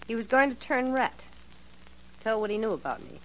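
A cool, mocking young murderess voiced by a woman in an old-time radio drama. She drawls every barb with heavy sarcasm, her fairly high voice swooping up and down. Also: expressive, normal speed, slight background noise, natural voice, rough recording. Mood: bitter. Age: young adult. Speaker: murder suspect. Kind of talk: 1940s radio acting.

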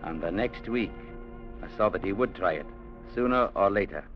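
Casting: firm, defiant clerk-narrator, low measured voice, old radio adventure with music behind. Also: male voice, lively intonation. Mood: grave narrating. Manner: measured, firm, matter-of-fact. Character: steady, defiant young company clerk telling his adventure. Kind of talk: 1950s radio drama narration and acting. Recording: dull vintage radio broadcast, music behind.

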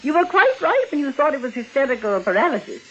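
An actress playing an outwardly composed, secretly murderous aunt in a 1940s radio mystery. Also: female voice, high voice, big pitch swings, fast. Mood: feigned cheerfulness. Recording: muffled vintage radio recording.

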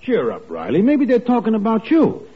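An actor playing a wisecracking, impatient neighbor pal, brash and needling, in a 1940s radio sitcom. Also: male voice, high voice, wildly swooping pitch, moderate pace. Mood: sardonic. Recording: muffled, noisy 1940s radio recording.

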